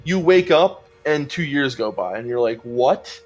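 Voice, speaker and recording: A man in his thirties co-hosting a casual gaming chat show, lively, laughing and acting out quotes. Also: medium pitch, unhurried, faint music behind. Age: 30s. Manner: animated, acts out quotes, laughs often. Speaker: podcast co-host. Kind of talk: casual group chat on a live stream.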